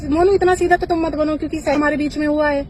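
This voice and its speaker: A woman's voice: high voice, steady tone, fast talker, darkish tone. A woman arguing in a personal phone call, speaking in an insistent, accusatory and confrontational manner. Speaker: caller in a private phone dispute. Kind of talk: heated personal phone conversation. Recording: noisy phone call recording, vehicle sounds.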